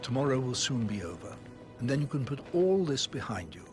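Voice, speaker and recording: A man's voice: mid-range voice, very animated, brisk, close to an everyday voice. An actor playing a formal, polite elderly male butler who speaks with reserved courtesy. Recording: music behind.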